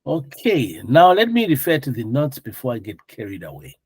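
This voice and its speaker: A man's voice: mid-range voice, huge pitch swings, normal speed, husky, dry recording. A man working as an instructor, lecturing informally and explaining things, often checking understanding with questions. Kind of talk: classroom lecture with questions to students.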